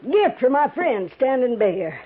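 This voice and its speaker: A woman's voice: sits high, very animated, a little slow, slight background noise. An actress playing a tough, sly old American frontier woman with folksy, rustic speech in a 1950s radio drama. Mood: sly, gruff. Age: older. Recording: muffled, thin 1950s radio recording.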